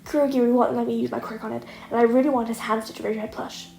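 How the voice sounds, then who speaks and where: A woman's voice: on the high side, steady tone, very fast, vocal fry, a little mellow. A woman voicing a scraggly, petulant male villain who is awkward, easily offended, hesitant and indignant. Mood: awkward, whiny. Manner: indignant, hesitant, repeating himself. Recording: echoey room, audible noise.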